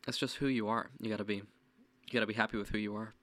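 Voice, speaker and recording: A man's voice: fairly low pitch, steady tone, racing, a bit bright. A young man co-hosting a podcast who sounds slightly nasal from a sore throat and chats in an easygoing, promotional way. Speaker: podcast co-host. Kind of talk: casual chat.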